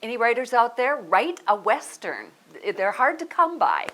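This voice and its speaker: A woman's voice: on the high side, very animated, normal speed, a bit bright. A woman speaking informally in an interview as the artistic director of a theatre group.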